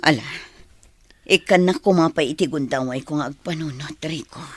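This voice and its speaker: An actress playing a guarded, torn young woman in a radio drama, speaking softly. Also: sits low, moderate pace, breathy edge, dry recording.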